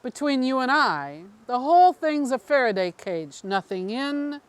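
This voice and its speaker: A woman reads as a smooth-talking male politician in a story, secretive and persuasive. The delivery is slow and confiding, with lively swings of pitch in a high register. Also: no echo. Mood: guarded, confiding. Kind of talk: audiobook character dialogue.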